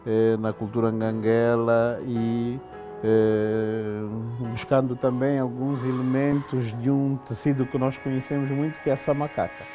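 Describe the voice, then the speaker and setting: A middle-aged man from Angola explains a building design in an interview, slowly and haltingly with many fillers, seated in an indoor hall on a lapel mic. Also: medium pitch, little pitch movement, music in the background.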